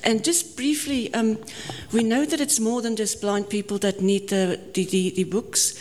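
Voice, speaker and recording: A woman's voice: a little high, steady tone, moderate pace, a little mellow, a little hiss or hum. Woman chairing a conference Q&A. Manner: friendly, explanatory, frequent restarts. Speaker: session chair and presenter. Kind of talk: conference Q&A moderation. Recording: long reverb of a conference hall.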